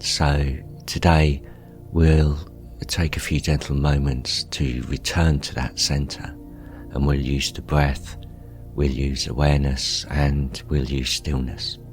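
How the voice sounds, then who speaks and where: A man guiding a meditation as its host in a calm, gentle, unhurried manner with pauses. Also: extremely low pitch. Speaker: meditation guide, show host. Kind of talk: guided meditation, gently spoken instruction. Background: music.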